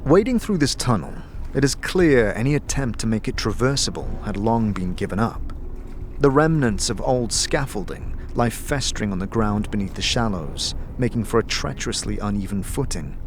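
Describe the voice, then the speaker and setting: A man's voice: on the low side, lively intonation, moderate pace. Male fantasy narrator over noisy ambience. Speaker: narrator and game master. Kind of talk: scripted second-person fantasy narration.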